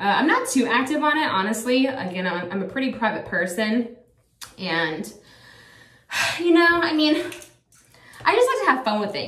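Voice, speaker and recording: A woman's voice: mid-range voice, fairly bright tone. A woman in her thirties talking casually to her viewers in a rambling, chatty way, full of fillers. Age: 30s. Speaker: vlogger. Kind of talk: casual chat to camera. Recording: echoey room, a bit far from the mic.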